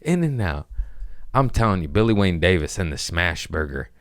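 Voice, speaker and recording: Male podcast host, very low voice, dry close sound, joking and cursing freely. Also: very animated, moderate pace, no echo. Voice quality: deep voice. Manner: loose, profane, jokey rambling. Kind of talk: casual chat.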